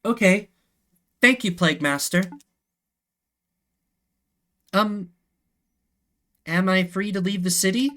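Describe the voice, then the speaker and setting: A man acts out a young rodent apprentice mage from a video game, pitched up and bright. The character is polite, earnest and a little hesitant. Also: takes it slowly, long pauses, exaggerated voice. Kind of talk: voiced story video game dialogue.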